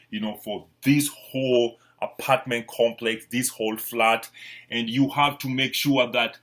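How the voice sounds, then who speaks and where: A man's voice: medium pitch, fairly bright tone. A man from Kenya with a Kenyan accent, a real estate agent giving an informal explanatory presentation in a repetitive, emphatic way with frequent check-in words.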